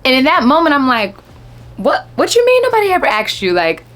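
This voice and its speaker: A woman's voice: high-pitched, wildly swooping pitch, brisk. Chatty young woman vlogging to viewers. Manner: chatty and warm, addresses viewers as y'all. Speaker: travel vlogger. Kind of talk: casual talk to camera. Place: Texas home setting.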